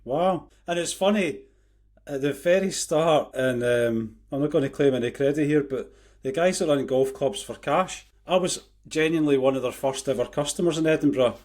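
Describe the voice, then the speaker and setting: A man's voice: fairly high pitch, moderate pace, darkish tone. A man hosting a casual interview, chatting in an enthusiastic, appreciative way. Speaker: podcast host.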